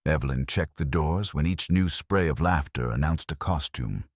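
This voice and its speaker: A man's voice: a bass voice, moderate pace, slightly rough, no echo, studio quality. A man narrating scripted first-person detective fiction in a wry, hardboiled manner. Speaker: audiobook narrator.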